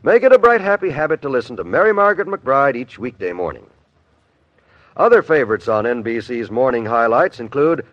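A man's voice: mid-range voice, no room sound. A man announcing from a script in polished, upbeat 1950s network radio style. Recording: muffled old radio recording.

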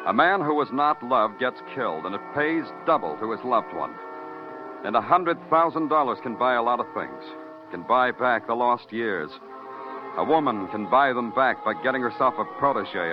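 A man's voice: medium pitch, expressive, normal speed, lightly acted. An American man playing a hard-nosed police detective who narrates moodily and questions curtly in a radio crime drama. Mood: brooding. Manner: clipped questioning, moody poetic narration. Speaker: narrator and lead actor. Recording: muffled vintage radio recording, loud music.

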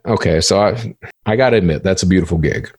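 A man's voice: low-pitched, fast, a little mellow, dry recording. A man hosting a podcast in a casual interview style, speaking bluntly and colloquially with some swearing. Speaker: podcast host.

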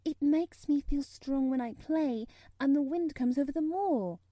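Woman voicing a timid, high-pitched little girl. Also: animated, unhurried, dark tone, no echo, clean studio sound. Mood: earnest, arguing. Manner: tremulous, stammering, pleading. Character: timid, stiff, earnest little girl, thin and plain.

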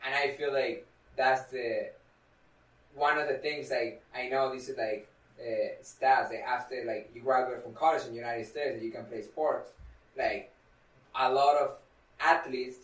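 A man's voice: mid-range voice, steady tone, a little slow. A young man co-hosting a podcast in casual chat, with loose, filler-heavy speech and non-native grammar. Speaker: podcast co-host. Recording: echoey room, far from mic.

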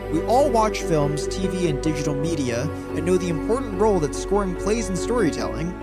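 A man hosting a podcast, reading a scripted intro and then asking hesitant questions full of "like". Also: a little high. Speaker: podcast host.

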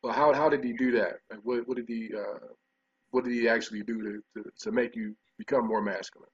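A man with a husky, mid-pitched voice asks questions as an interviewer. He is hesitant and rephrases as he goes. The recording is dull and muffled. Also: fairly even intonation, brisk. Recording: dull, muffled, distant pickup.

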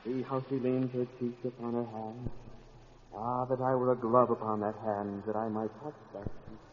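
A mock-romantic Romeo acted out by a man in an old radio comedy sketch, mid-pitched and poetic with a slangy streak. The recording is muffled and faintly noisy. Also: level tone, moderate pace, long reverb tail, close to an everyday voice.

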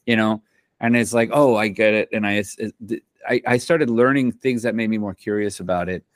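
A man's voice: fairly low pitch, fairly even intonation, fairly quick, dry recording, studio quality. A man who composes for film and television, talking in a loose, rambling way, full of slang and restarts, in a casual interview. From Central America.

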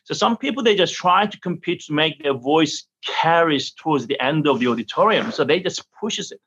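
A middle-aged opera singer from South Korea, answering interview questions in halting English and laughing often. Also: male voice, a little high, animated, fairly bright tone, husky, dull audio. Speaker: opera singer and vocal teacher.

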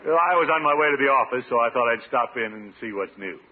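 An actor playing a pompous, blustery middle-aged American water commissioner in a classic radio comedy, with a theatrical manner. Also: male voice, medium pitch, animated, fast, close to an everyday voice. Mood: chatty, grumbling. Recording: tinny, noisy old radio recording.

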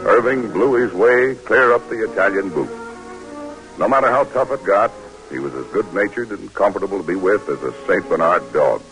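An actor playing a calm, steady army lieutenant who also narrates, speaking briskly and plainly in 1940s radio drama. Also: male voice, low-pitched, music in the background, close to an everyday voice, poor audio.

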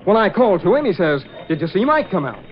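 Brash high-voiced newspaperman. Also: sing-song, quick pace. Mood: uneasy explaining. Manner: chatty, slangy. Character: brash young prankster newspaperman. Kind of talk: 1940s radio acting. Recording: dull old radio recording, chatter behind.

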